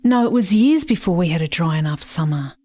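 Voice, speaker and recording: A haunted woman played by an actress tells her story in a slightly low voice. She is solemn and measured, letting pauses linger in a reflective radio-drama monologue full of old grief. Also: lively intonation, noisy background, close to an everyday voice. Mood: haunted, questioning. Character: haunted, grieving woman recalling a bitter rural childhood. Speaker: actor in a radio play.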